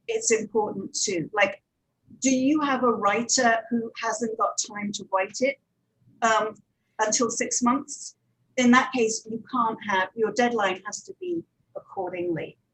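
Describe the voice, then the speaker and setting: A woman's voice: a little high, a bit bright, breathy edge, poor audio. A woman giving informal, practical advice as a speaker on a conference panel. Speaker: panel speaker, audio drama producer.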